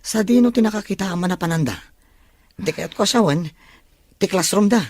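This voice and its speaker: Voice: mid-range voice, brisk, darkish tone, close to an everyday voice. An actor voicing a loyal, eager young male student sidekick in an audio drama. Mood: concerned, helpful. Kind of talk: Filipino audio drama dialogue in Ilocano.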